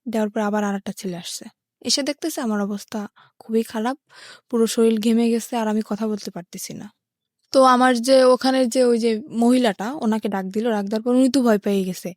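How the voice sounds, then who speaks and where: A woman's voice: on the high side, steady tone, brisk, a little mellow, dry recording, studio quality. A young woman with a Bangladeshi accent, telling her life story as an interview guest.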